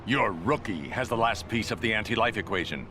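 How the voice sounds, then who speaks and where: An actor playing a stern, terse superhero in a video game, with a serious manner. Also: male voice, fairly low pitch, expressive, a little fast, background noise, lightly acted. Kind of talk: video game cutscene acting. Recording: loud music over the voice.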